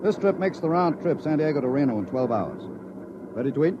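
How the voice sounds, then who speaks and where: An actor playing a brisk, confident middle-aged chief engineer in an old-time radio drama, businesslike and authoritative. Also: male voice, on the high side, expressive, noisy background, close to an everyday voice. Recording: dull vintage radio recording.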